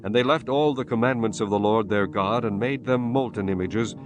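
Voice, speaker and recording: A man narrating a dramatized Bible audiobook in a solemn, measured, formal way. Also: fairly low pitch. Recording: soft music bed under the voice.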